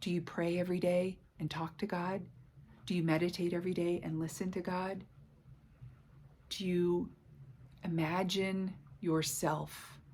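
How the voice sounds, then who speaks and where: Woman in her 40s, wellness coach, lowish voice, slow affirming talk straight to camera in a living room. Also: a little mellow, a little hiss or hum. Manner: slow, soothing, affirming delivery. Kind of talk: guided self-help talk to camera. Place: home living room by a stove.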